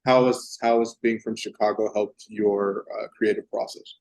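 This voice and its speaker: A man from Texas interviewing a guest, halting and restarting often as he searches for words. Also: on the low side, little pitch movement, a little mellow, low-quality recording. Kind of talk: casual interview.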